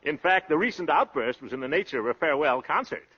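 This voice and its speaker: An actor playing a brash, boastful young suitor in a 1930s radio comedy, talking in pompous, florid slang. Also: male voice, on the high side, lively intonation, quick pace, close to an everyday voice. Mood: glib. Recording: dull, lo-fi 1930s radio recording.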